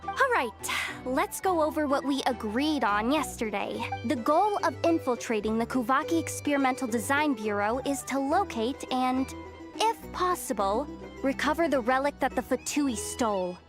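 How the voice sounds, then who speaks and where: A woman's voice: high voice, animated, normal speed, over music. A woman playing a cocky, evasive young female treasure hunter in a video game, with a breezy, boastful, theatrical manner. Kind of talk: video game character acting.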